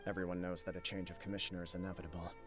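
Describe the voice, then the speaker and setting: A man voicing an earnest, self-blaming young clan leader in a video game, formal, grave and measured. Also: deep voice, little pitch movement, natural voice. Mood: grave, worried. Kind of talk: dramatic game dialogue. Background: soft game music.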